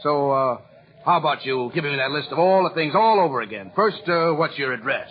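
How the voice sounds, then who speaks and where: An actor playing a jolly, flustered store Santa who hesitates and repeats himself, in 1940s radio style on a muffled old recording. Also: male voice, a little high, lively intonation, fairly quick, close to an everyday voice.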